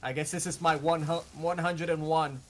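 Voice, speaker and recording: A man's voice: on the high side, steady tone, moderate pace, a bit bright, slight background noise. A man co-hosting a gaming show, bantering casually about video games.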